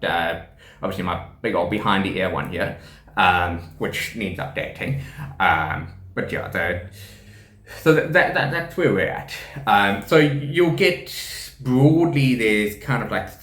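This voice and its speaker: A man's voice: lowish voice, a bit bright. A man in his thirties with a South African accent, deaf and using hearing aids, explaining his experience in a rambling, casual way with frequent ums, restarts and laughter. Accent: South African English. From South Africa, KwaZulu-Natal. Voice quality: speech shaped by profound hearing loss. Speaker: deaf teacher and rugby player presenting a channel. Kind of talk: casual explanatory talk to camera. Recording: echoey room.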